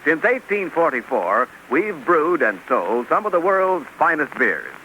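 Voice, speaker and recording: A man announcing on 1940s radio in a salesman-like pitch. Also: big pitch swings, measured pace, pushed and bright, poor audio. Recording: old radio broadcast with hiss.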